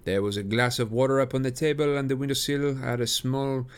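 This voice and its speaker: A man's voice: mid-range voice, brisk, smooth, close to an everyday voice. A man reading a matter-of-fact police inspector. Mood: reporting. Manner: steady, matter-of-fact reporting. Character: plodding male police inspector, defensive.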